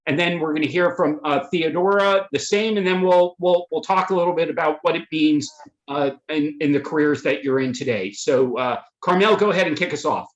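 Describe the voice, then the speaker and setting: Male webinar host, slightly high voice, stumbles and restarts often; roomy echo on the call. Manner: halting, many fillers and restarts. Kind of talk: introducing panelists. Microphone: video call. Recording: echoey room audio.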